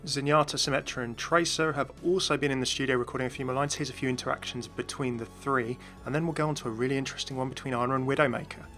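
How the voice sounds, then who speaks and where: A man's voice: medium pitch, steady tone, fast, few pauses, bright, forceful tone, soft background music. A man hosting a video about game voice lines with enthusiastic commentary. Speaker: video host.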